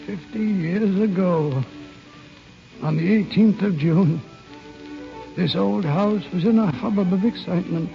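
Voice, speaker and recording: Creaky, high-voiced old guardian, vintage radio. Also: male voice. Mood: wistful reminiscence. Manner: measured, formal, dramatic. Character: stern, grieving elderly guardian uncle, wistful about the past. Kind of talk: 1930s-40s radio drama acting. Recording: muffled old radio broadcast, music behind.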